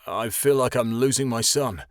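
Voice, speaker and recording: A stern, hurt middle-aged father, read by a man in his own voice. He speaks at a medium pitch, harshly at times. The sound is dry and close. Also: moderate pace, no echo, close to an everyday voice. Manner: harsh then pleading.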